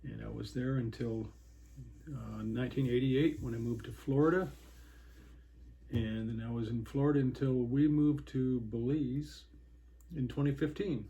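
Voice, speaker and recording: A man in his sixties from Washington State, recalling his childhood in a rambling way with many pauses and restarts. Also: mid-range voice, fairly even intonation, dark tone, poor audio. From Washington State, USA. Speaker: interviewee, hiker. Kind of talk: casual reminiscing interview.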